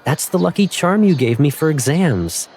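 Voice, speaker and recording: A man playing a tender, teasing young college graduate in a romance game fan dub, speaking softly and gently. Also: fairly high pitch, very animated, moderate pace, slight background noise. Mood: teasing, fond. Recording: background music, echoey hall on speech.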